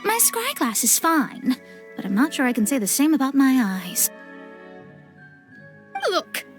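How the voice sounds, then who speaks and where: A high, animated young woman's voice for a haughty video game astrologer. The delivery is theatrical and wry, easily exasperated. Clear music plays underneath. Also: measured pace. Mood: disbelieving. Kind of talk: video game cutscene acting.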